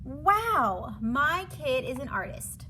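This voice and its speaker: A woman's voice: sits high, sing-song, slow pace, pushed and bright, slight background noise, exaggerated voice. A woman voicing a wary but indulgent mother in a children's storybook, speaking emphatically. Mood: amazed.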